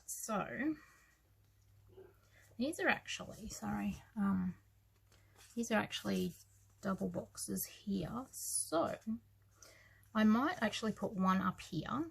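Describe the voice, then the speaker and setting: An Australian woman with a scratchy, croaky voice, chatting softly through her planner layout and trailing off into quiet asides. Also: medium pitch. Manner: soft-spoken, musing, trails off into quiet asides. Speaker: planner vlogger. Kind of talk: casual planner walkthrough.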